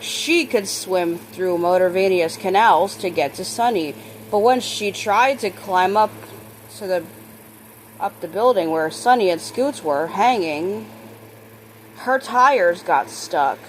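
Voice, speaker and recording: A woman reading a children's book aloud, expressive on characters' lines and sometimes stumbling and correcting herself. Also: medium pitch, a little hiss or hum, poor audio. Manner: halting reader, stumbles and corrects words. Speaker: storybook reader.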